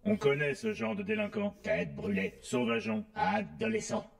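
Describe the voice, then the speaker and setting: A man voicing a stern, officious robot police constable in an animated film dub, formal and clipped. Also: high-pitched, normal speed, gravelly, heavily acted. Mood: stern, threatening.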